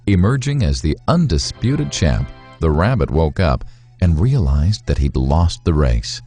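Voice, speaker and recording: A man narrating a scripted moral fable in a measured, instructive way, with deliberate phrasing. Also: low voice, warm tone. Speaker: storyteller, narrator.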